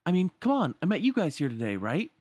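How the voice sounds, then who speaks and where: A man's voice: fairly high pitch, wildly swooping pitch, fast talker, darkish tone, no echo, pitched above the performer's own voice. A man voicing a friendly, easygoing young street lad from a game in a breezy, informal way. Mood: wistful, upbeat.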